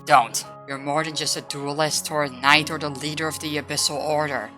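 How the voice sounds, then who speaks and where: A woman's voice: deep voice, steady tone, normal speed, bright, forceful tone, faint music behind. A woman voicing a blunt, loyal female comrade in a dramatic roleplay, firm and earnest. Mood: firm, determined. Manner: firm, scolding yet earnest. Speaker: voice actor.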